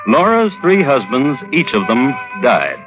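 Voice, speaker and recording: A man's voice: medium pitch, huge pitch swings, measured pace. A man narrating an old-time radio drama in a wry, florid storytelling style. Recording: phone-like vintage radio recording. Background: music.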